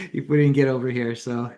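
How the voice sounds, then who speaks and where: A middle-aged man born in Romania, speaking at an ordinary male pitch. He talks in an easygoing, frank way and chuckles between his points. He is a guest speaking over a remote call. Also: level tone, moderate pace, darkish tone. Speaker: podcast guest, copywriter. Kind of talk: casual conversation.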